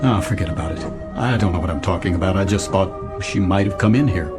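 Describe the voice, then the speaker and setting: A man's voice: sits low, a little fast, over loud music, lightly acted. An actor playing a weary, desperate businessman in an audio drama, with a hesitant, strained, pleading manner. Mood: wistful, weary.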